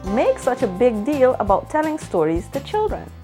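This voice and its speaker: A woman reads a scripted feature narration in a measured, lively voice that moves up and down a lot and rises on her questions. Music plays clearly behind her throughout. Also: high-pitched. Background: music bed.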